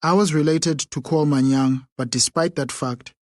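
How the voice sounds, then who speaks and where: A man reading a first-person memoir aloud as an audiobook narrator, in a measured, unhurried way. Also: a little high, fairly even intonation, darkish tone, dry recording, studio quality.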